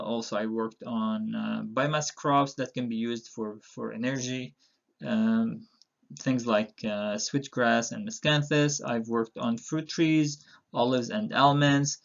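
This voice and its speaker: Man, middle-aged, from Palestine, course instructor, gravelly voice, somewhat dull lo-fi recording. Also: medium pitch. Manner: relaxed, with frequent "uh" and "um" fillers. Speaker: university instructor. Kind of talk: recorded online course introduction.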